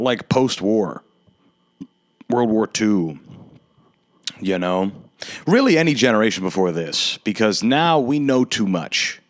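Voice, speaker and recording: A young man in his twenties with a very low voice hosts his podcast alone. He rants in a sardonic tone and stretches his words out for emphasis. The recording is dry and close, with no room sound. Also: no room sound. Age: 20s. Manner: sardonic, ranting, drawn-out emphatic delivery. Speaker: podcast host. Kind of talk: solo podcast rant.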